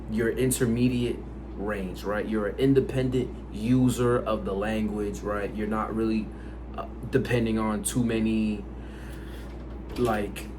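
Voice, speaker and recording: A man born in the United States, whose first language is Haitian Creole, talking casually to camera about language learning, rambling with lots of fillers. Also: on the low side. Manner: rambling, filler-heavy, conversational. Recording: noisy background.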